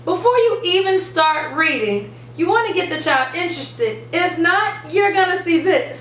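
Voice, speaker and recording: A woman hosting an instructional video for parents and teachers, speaking in an animated, emphatic, teacherly way. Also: very high-pitched, normal speed. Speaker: host of an education channel for parents and teachers. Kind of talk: upbeat instructional video to camera. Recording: roomy, distant mic, faint background noise.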